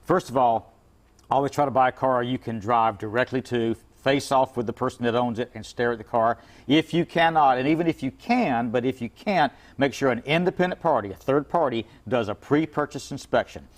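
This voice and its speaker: A man's voice: mid-range voice, brisk. A man who owns an import car shop, giving folksy, plain-spoken advice as a guest in a TV interview, with frequent restarts.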